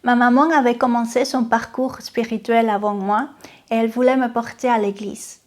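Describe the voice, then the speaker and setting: A woman's voice: fairly high pitch, steady tone. Spanish-accented woman giving a testimony. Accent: Venezuelan Spanish. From Venezuela. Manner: earnest, unhurried, with frequent hesitations and restarts. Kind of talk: personal testimony to camera. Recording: faint music behind the voice.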